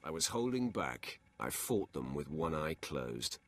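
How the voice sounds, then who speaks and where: A man's voice: extremely low pitch, very animated, unhurried, heavily acted. A man playing a cold, arrogant Sith warrior in a video game, with a haughty, menacing, terse delivery.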